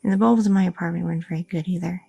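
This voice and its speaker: A woman's voice: fairly low pitch, fairly even intonation, very fast, vocal fry, warm tone, slightly rough, dry recording, soft background music. A woman voicing a curious, chatty young girlfriend in an ASMR roleplay, rambling with many fillers and nervous questions. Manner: rambling, inquisitive, filler-heavy talk.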